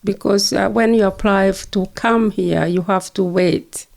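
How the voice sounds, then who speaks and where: A woman's voice: mid-range voice, fairly even intonation, unhurried, gravelly, darkish tone. A woman in her seventies with a Kenyan accent, recalling her life in a conversational interview. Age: 70s. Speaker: interview guest, retired nurse and midwife. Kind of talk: conversational oral-history interview, recollecting.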